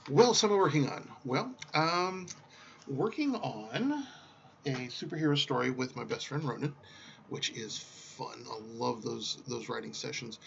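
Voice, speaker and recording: A middle-aged man with a creaky, gravelly voice talks off the cuff in a casual vlog. He rambles reflectively and often breaks off mid-thought. The recording is slightly dull, with faint noise behind him. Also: medium pitch, sharp tone. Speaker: writer talking about his projects. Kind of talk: casual unscripted vlog monologue.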